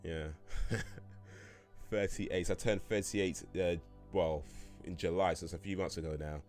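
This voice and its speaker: A man's voice: deep voice, steady tone, measured pace, darkish tone, soft background music. A man in his late thirties hosting a casual livestream Q&A, rambling through chat questions with lots of fillers and restarts and frequent chuckles. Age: 30s.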